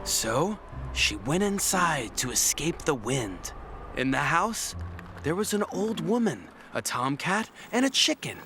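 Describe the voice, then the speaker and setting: A man's voice: a little high, big pitch swings, measured pace, pushed and bright, slight background noise. A man reading a scripted children's bedtime story as the narrator, with music behind him.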